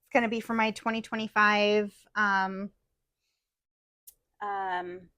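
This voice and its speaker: A woman's voice: medium pitch, flat delivery, takes it slowly, bright, forceful tone, no room sound, clean studio sound. A woman co-hosting a casual stitching chat, rambling with fillers and restarts while losing her voice a little. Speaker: podcast co-host. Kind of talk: casual chat about cross-stitch projects.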